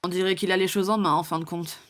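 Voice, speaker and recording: A woman voicing a stern, weary female sheriff in an animated western fan dub, curt, commanding and exasperated. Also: on the low side, fast, lightly acted.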